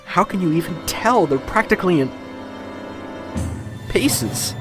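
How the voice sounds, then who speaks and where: A man's voice: high-pitched, lively intonation, measured pace, music in the background. A man playing a panicky, agitated young rookie police officer in a radio drama. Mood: horrified. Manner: agitated, exclaiming.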